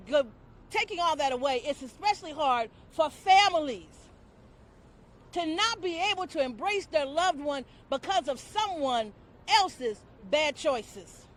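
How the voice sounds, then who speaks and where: A woman, a union director and community advocate, gives a loud, forceful rally speech with a preacherly cadence. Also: high voice.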